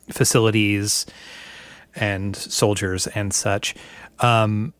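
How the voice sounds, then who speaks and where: Steady-voiced male radio host. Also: on the low side, no room sound. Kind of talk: scripted intro then interview questions.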